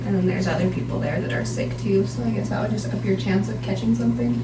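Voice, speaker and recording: Calm woman nurse explaining. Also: mid-range voice, fast. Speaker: nurse guest. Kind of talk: casual podcast chat. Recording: roomy, distant mic, noisy.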